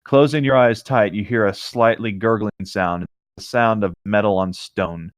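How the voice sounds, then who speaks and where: Lower-voiced man narrating a story aloud. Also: normal speed, no room sound, clean studio sound. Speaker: co-host reading fan fiction aloud. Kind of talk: read-aloud narration with casual asides.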